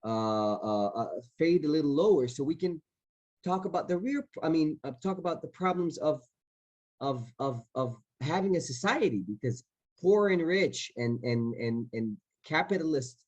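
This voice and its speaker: A man's voice: on the high side, animated, moderate pace, a little mellow, lo-fi sound. Man answering, searching for words. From Israel. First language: Hebrew. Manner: searching for words, frequent restarts. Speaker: actor on a festival panel.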